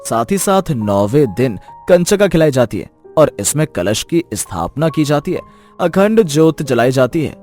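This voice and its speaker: A man voicing a young husband who explains things calmly and persuasively, over background music. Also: fairly high pitch, moderate pace. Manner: calm, persuasive. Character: gentle reasoning young husband. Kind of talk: animated moral story dubbing. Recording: music bed behind voice.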